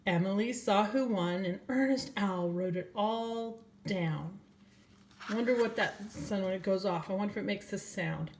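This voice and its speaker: A woman's voice: mid-range voice, darkish tone, slight background noise. An older woman, a grandmother, reading a children's storybook aloud and speaking directly to young children. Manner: slow, addressing young children, asking them questions.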